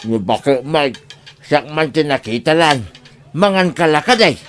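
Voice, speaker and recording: Casting: male actor as playful elderly dad, slightly high voice, faint noise with clinking dishes. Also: lively intonation, measured pace, a bit bright. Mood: jovial. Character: jolly, teasing old father fond of food. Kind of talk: Ilocano audio drama acting.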